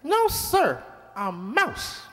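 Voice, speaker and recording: A man playing a small, polite but insistent talking mouse in a radio drama, with a squeaky voice. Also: wildly swooping pitch, extremely slow, a bit bright, exaggerated voice. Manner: polite, matter-of-fact, insistent. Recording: reverberant hall-like amateur recording.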